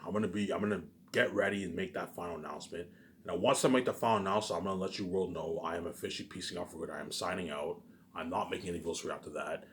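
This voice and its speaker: Fast-talking, boastful low-voiced man. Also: fairly bright tone, slight background noise. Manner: repetitive, keeps adding "obviously"; profane and boastful. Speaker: self-published writer vlogger. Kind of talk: rambling solo rant to viewers.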